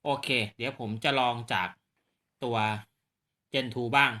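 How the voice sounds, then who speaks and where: Casting: man, very bright forceful voice, polite casual gear demonstrator who checks in with viewers. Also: mid-range voice, little pitch movement, slow. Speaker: presenter of radio gear demo on his own video channel. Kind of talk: casual hands-on product demonstration.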